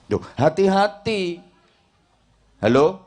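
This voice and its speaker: A man's voice: sits high, very animated, takes it slowly, a little mellow. A middle-aged man with a Javanese accent, preaching a comic sermon to a village congregation and teasing the crowd. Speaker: Islamic preacher.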